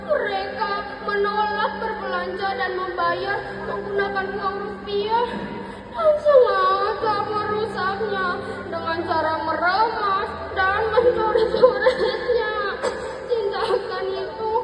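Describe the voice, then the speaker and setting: A woman storyteller with a very high, theatrical voice. She takes her time, stretching words for drama, in a reverberant hall with music behind her. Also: crowd noise. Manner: dramatic, drawn-out emphasis. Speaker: storytelling contestant.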